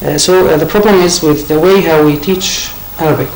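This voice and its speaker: Calm, explanatory male panel guest. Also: on the high side, brisk. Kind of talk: studio panel discussion. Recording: echoey studio, faint noise.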